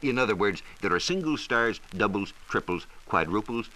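Deep-voiced American man, animated radio science explainer. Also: low-quality recording. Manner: measured, didactic, precise. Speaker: science reporter explaining astronomy. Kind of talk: scripted 1940s radio science talk. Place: radio studio. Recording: hissy 1940s radio transcription disc.

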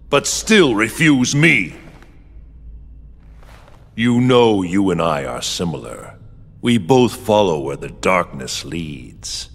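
An actor playing a menacing, arrogant dark lord in a video game, with a deep gravelly voice and a slow, grandiose, taunting manner. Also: male voice, heavily acted. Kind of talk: theatrical video game villain acting. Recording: long hall reverb, music underneath.

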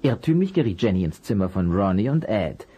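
Husky, lively detective, patient and clever. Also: male voice, fairly low pitch, lo-fi sound. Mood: patient explaining. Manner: measured, explanatory, patient. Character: calm, clever private detective, friendly with children. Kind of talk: German children's detective radio play acting.

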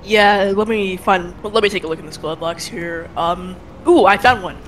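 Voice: mid-range voice, normal speed, a bit bright, a little hiss or hum, lightly acted. A young-sounding player acting as an eager teenage son in a casual video game roleplay.

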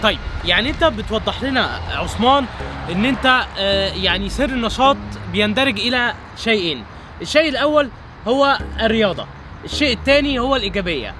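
Fast-talking young Egyptian man vlogging. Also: high-pitched, expressive, noisy background. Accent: Egyptian Arabic. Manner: brisk and upbeat, addressing viewers directly. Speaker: vlogger and interviewer. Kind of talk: casual vlog chat. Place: outdoors at night by a city waterfront.

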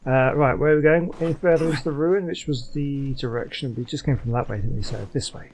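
Casual male gamer, mid-pitched voice. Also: a little fast, darkish tone, a little hiss or hum, poor audio. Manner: musing, conversational. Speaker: gaming video commentator. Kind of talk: casual playthrough commentary. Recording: faint game ambience behind.

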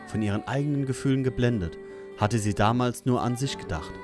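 A man's voice: medium pitch, brisk, over music. A man reading scripted narration for an audio drama.

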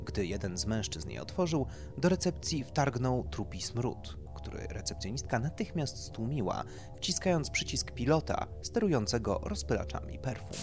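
A man reading a satirical horror story as scripted narration. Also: medium pitch. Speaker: narrator, audiobook reader.

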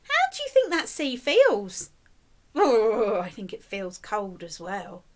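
A middle-aged woman reading a picture book aloud to young children, expressively and deliberately, prompting them with questions. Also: medium pitch. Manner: animated, slow and deliberate, prompting young listeners. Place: indoors, small room with decorated wall.

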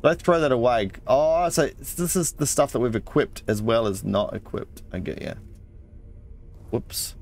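Gravelly man muttering through a game. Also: medium pitch, normal speed, darkish tone. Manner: muttering to himself, thinking aloud. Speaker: gaming channel host. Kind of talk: casual let's play commentary. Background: game music.

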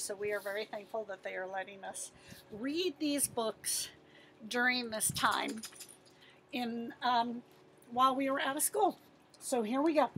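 A woman in her fifties reading a picture book aloud as a teacher, expressive and putting questions to her listeners. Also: sits high, slight background noise, low-quality recording. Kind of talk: animated children's picture-book read-aloud.

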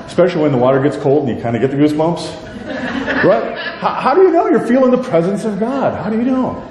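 A middle-aged man with a deep voice preaching a sermon in a church, conversational and self-deprecating, with many rhetorical questions. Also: very animated, fairly quick. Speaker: pastor. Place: church sanctuary with a stage. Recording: echoey church hall, light rumble.

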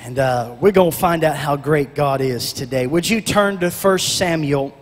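A middle-aged man preaching on a church stage into a handheld mic, with a shouting, half-sung preacher cadence that drops to quiet prayer. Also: fairly high pitch, normal speed. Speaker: pastor. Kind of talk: Pentecostal sermon and prayer. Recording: long church reverb, music behind.

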